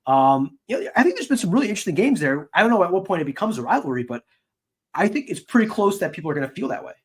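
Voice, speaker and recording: A man's voice: high-pitched, sing-song, very fast, husky. A man co-hosting a sports podcast, giving casual analysis.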